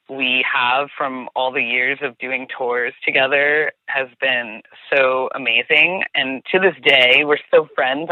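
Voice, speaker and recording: A woman's voice: rumbling low, dry recording. A woman with a New Jersey accent, chatting casually as a podcast guest, restarting her sentences and saying "like" a lot. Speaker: guest, tour guide. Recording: tinny phone line, dry.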